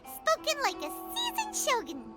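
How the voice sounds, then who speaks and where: A woman voicing a tiny, perky, chatty fairy companion in a video game, with a squeaky childlike voice. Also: wildly swooping pitch, moderate pace, full character voice. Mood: chatty, curious. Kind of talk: cartoonish video game voice acting. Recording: voice over prominent game music.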